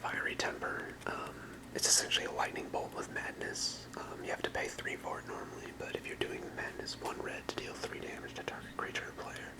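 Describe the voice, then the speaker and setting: Soft ASMR whisperer, fully unvoiced. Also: low-quality recording. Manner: soft, unhurried explaining in whispers. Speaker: ASMR creator presenting a trading card deck.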